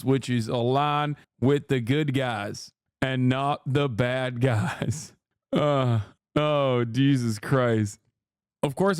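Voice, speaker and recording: A man's voice: medium pitch, smooth, no room sound, clean studio sound. A man in his thirties streaming casual commentary, reading news text aloud and mocking it with sarcastic, profane asides.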